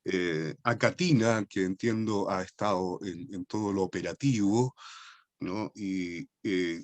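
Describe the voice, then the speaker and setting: A man with a Chilean accent, a poet opening his lecture slowly and thoughtfully, with many hesitations over a video call. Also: fairly low pitch, no room sound. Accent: Chilean Spanish. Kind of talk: formal lecture introduction.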